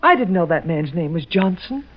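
A woman's voice: lowish voice, very animated, normal speed, breathy edge. An actress playing an earnest, defensive young woman under suspicion, proper and pleading, in an old radio drama. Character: earnest, defensive young female murder suspect, lovelorn. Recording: dull vintage radio recording.

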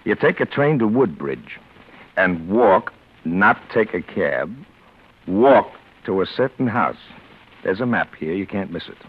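An actor playing a bossy, shady American crook in vintage radio drama, brusque and full of gangster slang. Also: male voice, low-pitched, sing-song, a little slow, husky, heavily acted. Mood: brisk, bossy. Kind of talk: 1940s-50s radio comedy-adventure acting. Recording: dull old radio recording, faint noise.

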